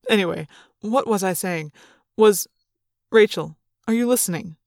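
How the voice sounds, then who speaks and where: A woman voicing a middle-aged male school official who speaks in an earnest, measured, patronizing way, as in an audiobook. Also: medium pitch, creaky, a little mellow, dry recording, clean studio sound, voice pushed down. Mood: droning.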